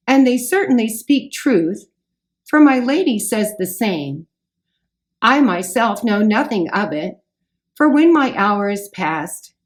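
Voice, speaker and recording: A woman voicing a formal, grandly courteous enchanted knight from a storybook, with old-fashioned, boastful speech. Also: mid-range voice. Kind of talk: storybook read aloud.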